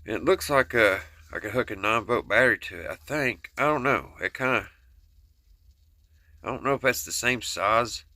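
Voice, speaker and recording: A man's voice: mid-range voice, animated, normal speed, pushed and bright, dry recording, clean studio sound. A man with a Kentucky Southern accent talking casually and plainly to his viewers, in a folksy, rambling way as he works with his hands. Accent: Kentucky Southern American. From Kentucky, United States. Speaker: host of a hobby repair video channel.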